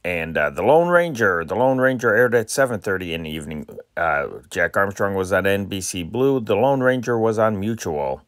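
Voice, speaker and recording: A man with an American accent speaks as a casual host in a lowish voice. The sound is very dry, and he talks in a hesitant, meandering way. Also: fairly bright tone, no echo. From Chicago area, United States. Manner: hesitant, rambling. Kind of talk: casual radio-show introduction.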